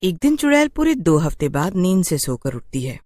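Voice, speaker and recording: A woman's voice: lowish voice, very animated, moderate pace, a little mellow, no room sound, clean studio sound. A woman narrating a scripted horror story for a cartoon in storybook style.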